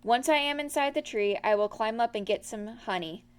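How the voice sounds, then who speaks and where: A woman voicing a gentle, earnest, honey-loving storybook bear who frets mildly. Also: a little high, normal speed, clear, resonant tone, natural voice.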